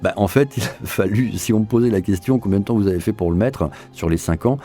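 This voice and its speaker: Male director, low-pitched interview voice, explanatory and repeating for emphasis, light music underneath. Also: quick pace, mellow. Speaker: audio drama director being interviewed. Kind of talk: reflective interview talk.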